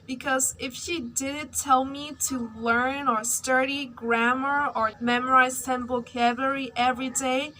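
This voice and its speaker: A woman's voice: fairly high pitch, sharp tone, a little hiss or hum, low-quality recording. A young woman whose first language is Burmese, chatting casually in a personal vlog with lots of "like" fillers. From Myanmar. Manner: chatty, uses many fillers like "like". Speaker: language-learning vlogger.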